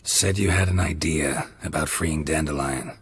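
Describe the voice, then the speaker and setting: An actor playing a stoic, weary monster hunter in a video game, terse and deadpan, with a gravelly voice. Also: male voice, normal speed, full character voice. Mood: dry, calm. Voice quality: gravelly low voice.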